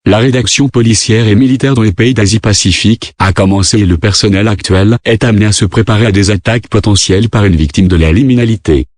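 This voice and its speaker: Low-pitched man reading an emergency alert. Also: fast talker, hardly any pauses, a little mellow, no room sound. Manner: formal, impersonal reading. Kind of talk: scripted emergency broadcast narration.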